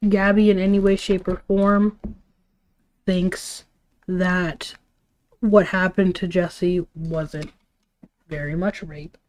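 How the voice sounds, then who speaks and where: A woman's voice: fairly low pitch, steady tone, takes it slowly, long pauses, darkish tone, rough recording. A tired woman talking casually on a livestream, giving her opinions in an informal, rambling way with some casual swearing. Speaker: livestream host.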